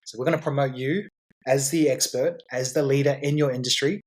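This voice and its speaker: A man's voice: mid-range voice, steady tone, fairly quick. A man as a guest on a podcast, explaining his business in a confident, salesy way with frequent repeats and restarts. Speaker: guest entrepreneur.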